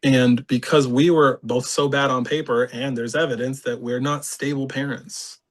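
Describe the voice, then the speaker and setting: A man from California, a podcast guest, talks through his own past in a lively way, laughing often and acting out other people's words. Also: mid-range voice, normal speed. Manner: animated, laughs often, reenacts voices. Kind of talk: candid personal storytelling.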